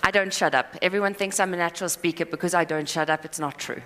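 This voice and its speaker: A woman giving a live conference talk, rambling and self-deprecating with quick asides and apologies. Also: on the low side, steady tone, fairly bright tone. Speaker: conference speaker. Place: conference hall. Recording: hall reverb.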